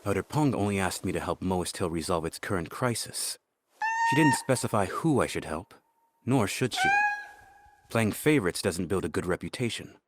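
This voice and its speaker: A man playing a composed, shrewd young diplomat in a game, speaking in a measured, articulate way with quiet confidence. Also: deep voice, big pitch swings, heavy background noise. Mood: calm, reasoned. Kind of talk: dramatic game dialogue.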